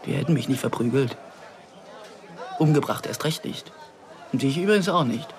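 A woman's voice: rumbling low, lively intonation, normal speed, a faint crowd. A woman playing an outspoken, indignant, fearless mother in a radio drama, speaking combatively and emphatically. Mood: insistent. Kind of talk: German radio drama dialogue.

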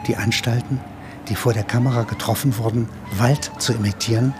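A man's voice: medium pitch, fairly even intonation, brisk. Male radio narrator over prominent music. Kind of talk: literary radio play narration.

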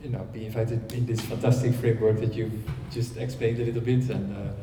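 A man speaks at a seminar, unhurried and hesitant, filling pauses with ums. His voice is very dark and flat in pitch. He sounds distant in a reverberant hall. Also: slight background noise. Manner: hesitant, polite, many ums. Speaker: guest speaker presenting a project. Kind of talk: seminar talk. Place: seminar room. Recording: distant mic in an echoey hall.

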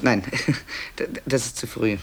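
A man's voice: medium pitch, fairly even intonation, measured pace, background noise, close to an everyday voice. A man narrating a 1960s radio play as a dryly ironic, confiding author who hesitates and stammers. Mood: dryly explanatory. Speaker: narrator presenting tape recordings.

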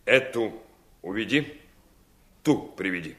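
Коварного, насмешливого главаря пиратов, голос гуляет вверх-вниз. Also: male voice, lowish voice, animated, slow pace. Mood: menacing, commanding. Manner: wheedling turning to threats, swooping intonation. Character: menacing, mocking male pirate lord of an island. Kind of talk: theatrical radio fairy-tale acting.

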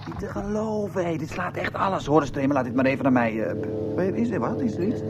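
A man's voice: fairly high pitch, animated, a little fast, over loud music, natural voice. A man playing a flippant, swaggering space rogue in a radio drama, slangy and determinedly nonchalant. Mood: excited, incredulous. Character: cocky, self-consciously cool, flippant space rogue. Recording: dull, noisy old radio play.

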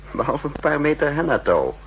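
A man playing a shrewd, cool secret agent in a measured, suave 1960s radio drama style. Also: on the high side, very animated, slightly rough, full character voice, rough recording. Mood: suave, mocking. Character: shrewd, cool male secret agent narrating his exploits. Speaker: narrator and lead actor. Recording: old radio recording with faint hum.

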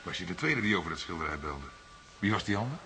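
A man playing an eager, impatient younger police detective in a radio drama, speaking in a brisk, earnest way. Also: low-pitched, very animated, bright, forceful tone, slight background noise, exaggerated voice. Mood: curious.

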